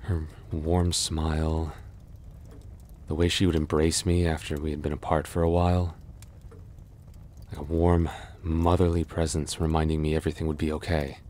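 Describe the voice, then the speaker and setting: A man playing a cursed, lovelorn young prince in a roleplay audio drama, halting and formal in his speech. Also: rumbling low, little pitch movement, a little mellow, a little hiss or hum. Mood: tender, longing. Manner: halting, breathy sighs and gasps, formal archaic phrasing.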